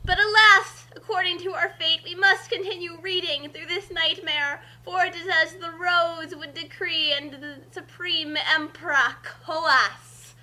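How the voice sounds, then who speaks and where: A woman's voice: extremely high pitch, steady tone, measured pace, hardly any pauses, pushed and bright, rough recording. A woman co-hosting a casual group reading, bantering and commenting in a theatrical, mock-dramatic way. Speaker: co-host of a group fan-fiction reading. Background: background music.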